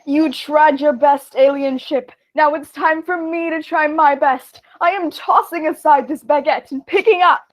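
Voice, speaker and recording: A woman's voice: sits high, measured pace, few pauses, poor audio. A woman playing a brash, vain, boastful small-town mayor in a comic radio play. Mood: bold defiance.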